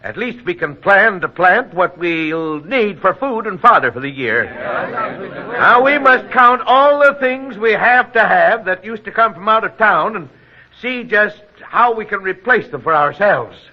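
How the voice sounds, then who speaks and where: An earnest small-town leader with a high male voice, reasoning things out in an orderly, deliberate way. It is old radio drama, dull and muffled in sound. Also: animated. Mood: earnest, resolute. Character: earnest, steady small-town leader chairing an emergency meeting. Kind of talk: 1940s radio drama acting.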